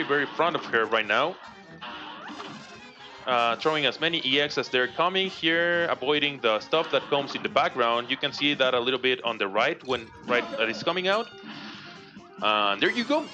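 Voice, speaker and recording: Spanish-accented Mexican man, 30s, relaxed live gameplay commentary; muffled stream audio over clear game music. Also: medium pitch, moderate pace. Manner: relaxed, chatty, laughs often, reads chat aloud. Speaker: speedrunner.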